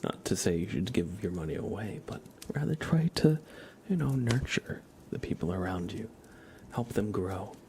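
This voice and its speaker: A man hosting an ASMR livestream in a soft, hushed voice that often nears a whisper, chatting and reading in a laid-back, gently joking way with halting pauses. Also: lowish voice, expressive, noisy background. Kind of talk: ASMR, casual chat and tarot reading for viewers.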